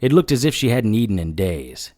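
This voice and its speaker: A man's voice: lowish voice, very animated, brisk, a little mellow. A man playing a terse, hard-boiled private investigator who narrates his own noir audio drama with grim intent. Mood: grim narration.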